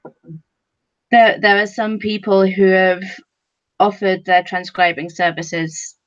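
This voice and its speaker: Slightly muffled, lo-fi audio carries a woman's voice at a middling pitch. She talks casually and off the cuff, as a panelist in a podcast discussion. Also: fairly even intonation, slow pace.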